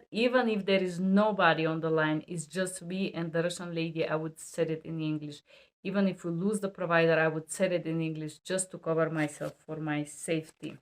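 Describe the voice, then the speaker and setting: A woman's voice: lowish voice, fairly bright tone. A woman who works as a medical and legal interpreter, teaching to camera in emphatic, non-native English and bluntly repeating key rules. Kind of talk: instructional talk to camera.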